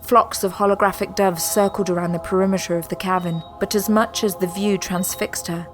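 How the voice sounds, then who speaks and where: A woman's voice: medium pitch, fairly even intonation, brisk, over music. A woman narrating a sci-fi audio drama in a scripted, dramatic style.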